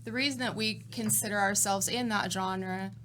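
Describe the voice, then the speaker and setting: A woman's voice: mid-range voice, steady tone, moderate pace, a crowd in the background. A woman who plays in a band, a guest on a radio talk show. She explains her points carefully and thoughtfully. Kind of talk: casual studio chat.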